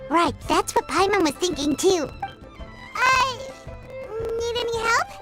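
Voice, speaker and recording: Squeaky little fairy companion. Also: female voice, lively intonation, a little slow, pushed and bright, soft background music, heavily acted. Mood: curious. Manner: talks about herself in third person, curious. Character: tiny chatty floating fairy companion, female. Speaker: game companion. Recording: game score playing under voice.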